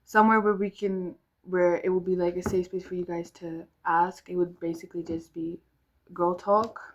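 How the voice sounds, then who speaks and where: A woman's voice: on the low side, level tone, normal speed. Rambling young woman vlogger, lo-fi sound. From South Africa. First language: Afrikaans. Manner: rambling, many ums and restarts. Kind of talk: casual chat to camera. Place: home room with music downstairs.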